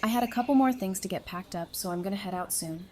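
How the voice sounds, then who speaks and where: A woman's voice: mid-range voice, fast talker, noisy background, lightly acted. A woman playing a friendly, level-headed survivor and peacemaker in an audio drama, conversational and earnest. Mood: casual.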